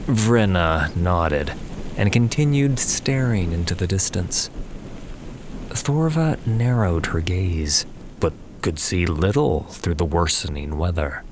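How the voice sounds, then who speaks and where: A man narrating a scripted fantasy story in a measured, solemn manner. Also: deep voice, lively intonation, background noise. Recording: faint ambience behind the voice.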